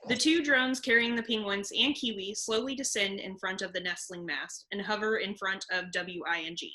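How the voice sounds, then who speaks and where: A woman's voice: mid-range voice, normal speed, sharp tone. A woman reading stage directions as the narrator of a scripted table read.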